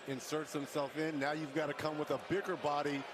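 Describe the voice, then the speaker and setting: A man's voice: a little high, little pitch movement, brisk. A man giving live basketball analysis as a color commentator. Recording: crowd-drowned noisy arena broadcast. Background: loud crowd, bouncing basketball.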